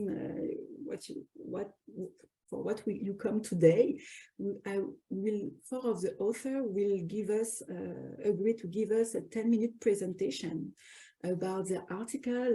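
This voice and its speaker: A woman with a French accent hosting a webinar on a video call, halting often as she introduces the program. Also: mid-range voice, warm tone, low-quality recording. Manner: many fillers and restarts, halting English. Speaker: webinar host and co-editor. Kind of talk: hesitant welcoming introduction.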